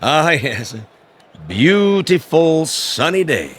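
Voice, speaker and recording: An actor playing a jaded, sardonic American baseball announcer with showy patter in a radio drama. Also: male voice, on the high side, sing-song, slow, a little hiss or hum. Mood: showy, upbeat. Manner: showy on air, sardonic grumbling off it. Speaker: sports announcer. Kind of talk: American radio drama acting, broadcast commentary.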